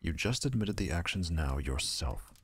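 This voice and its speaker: A man's voice: deep voice, big pitch swings, moderate pace, slightly rough, full character voice. A man playing a stern, haughty owl lord and father in an audio drama, speaking in a formal, imperious manner. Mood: disdainful.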